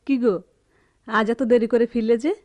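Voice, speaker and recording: A woman playing a calm, practical middle-aged wife and mother in a radio drama, gentle and measured. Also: fairly high pitch, lo-fi sound, faint music behind, natural voice. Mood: calm, hopeful. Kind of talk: Bengali radio drama acting.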